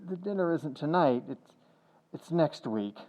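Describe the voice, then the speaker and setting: A man's voice: a little high, lively intonation, unhurried, darkish tone, rough recording. Man playing a hesitant, sheepish radio sitcom husband. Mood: sheepish, nervous. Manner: hesitant, stammering. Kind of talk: 1940s radio comedy acting.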